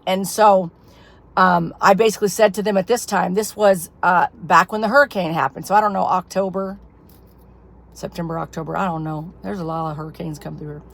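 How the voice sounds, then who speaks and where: A woman's voice: lowish voice, fairly even intonation, brisk, vocal fry. A woman who is losing her voice, telling a rambling personal testimony to her followers, full of fillers. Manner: rambling, filler-heavy storytelling. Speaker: ministry leader. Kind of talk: casual personal testimony to camera.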